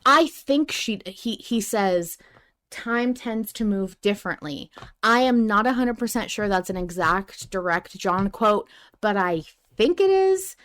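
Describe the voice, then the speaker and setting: A woman hosting a casual fan discussion of an audio drama, talking in an animated way and repeating phrases for emphasis. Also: medium pitch, a little slow. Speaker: podcast host.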